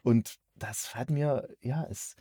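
A man's voice: mid-range voice, slow, warm tone, slightly rough, no echo, studio quality. A man chatting casually and critically as a reviewer, often rephrasing himself mid-thought. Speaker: audio drama reviewer and host.

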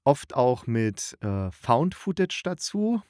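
A man's voice: mid-range voice, very animated, slow, a little mellow, no echo, studio quality. A man talking casually and colloquially as a podcast host reviewing audio dramas, with a tongue-in-cheek way of putting things. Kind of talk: casual chatty review monologue.

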